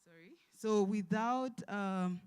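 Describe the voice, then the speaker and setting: A woman hosts an event with an informal welcome speech at a middle pitch. She speaks politely and a little hesitantly, as if addressing guests directly. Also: takes it slowly, a little mellow, clean tone. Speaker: event host giving a welcome.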